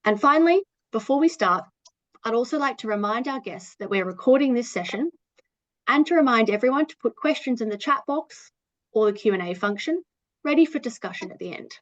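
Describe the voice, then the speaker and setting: A woman opens an online session in a measured, formal tone. The sound is dry and a little dull. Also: fairly high pitch, animated, no echo. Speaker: webinar co-chair. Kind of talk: scripted webinar opening.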